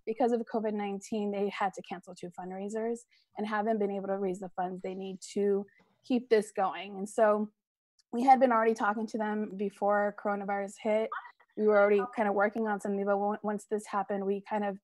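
A woman's voice: mid-range voice, level tone, fairly quick, a little mellow, dry recording. An American woman chatting casually and effusively on a group video call about a fundraiser. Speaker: cast member presenting a charity fundraiser.

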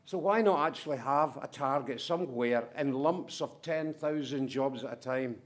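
A middle-aged man giving a conference presentation in brisk, punchy short statements with wry asides. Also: mid-range voice. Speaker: conference speaker from a manufacturing firm.

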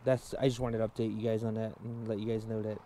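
A man's voice: fairly low pitch, little pitch movement, quick pace, mellow, a little hiss or hum. A man vlogging while fishing in a river, casually explaining his setup step by step with plenty of fillers. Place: outdoors standing in a river.

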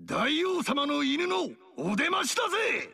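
An actor playing a boisterous, burly barbarian king who shouts rough battle cries and boasts loudly in a video game. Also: extremely high pitch, very animated, a little slow, heavily acted. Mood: brash boasting.

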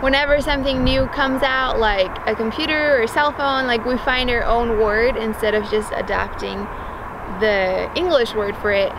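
A woman's voice: fairly high pitch, steady tone, normal speed, noisy background. A young woman from Iceland who grew up in Denmark, co-hosting a vlog and explaining things plainly outdoors. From Iceland, raised in Denmark. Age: young adult. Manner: explanatory, matter-of-fact. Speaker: vlog co-host. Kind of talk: casual chat to camera. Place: open field outdoors on a sunny day. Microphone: camera mic on a tripod, medium shot.

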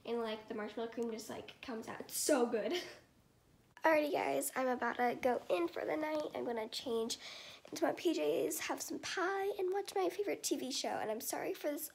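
A woman's voice: high voice, animated, normal speed, creaky. A girl of about thirteen with an American accent, chatting casually to her vlog, often saying "like". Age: 13, young teenager. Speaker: vlogger. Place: indoors at home, bedroom and kitchen. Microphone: camera or phone at arm's length. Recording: noisy handheld home recording.

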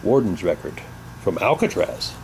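A man's voice: low-pitched, big pitch swings, normal speed, darkish tone, slight background noise, low-quality recording. A man giving casual, unscripted unboxing commentary, rambling and repeating himself, recorded indoors on a handheld camera's microphone. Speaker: hobbyist vlogger. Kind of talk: casual unboxing commentary, sometimes reading aloud. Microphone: handheld camera's built-in microphone.

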